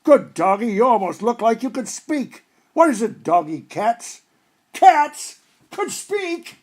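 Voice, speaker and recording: A man's voice: extremely high pitch, very animated, takes it slowly, pitched above the performer's own voice, heavily acted. A man playing a henpecked, tipsy old dog owner in a storybook, with folksy, slangy talk. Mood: teasing.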